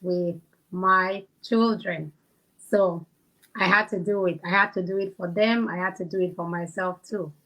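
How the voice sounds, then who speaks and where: A woman's voice: lowish voice, steady tone, unhurried, a bit bright, low-quality recording. A woman with a Cameroonian accent chatting casually as the guest in an interview. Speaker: interview guest, kindergarten teacher.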